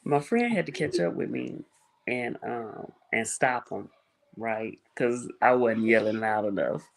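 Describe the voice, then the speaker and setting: A woman with a very low, creaky, soft-spoken voice hosts a casual live stream. She tells stories in a relaxed, chatty way and laughs often. Her voice has a gravelly fry. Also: a little slow, low-quality recording. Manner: laughs often, chatty storytelling, greets viewers. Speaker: livestream host.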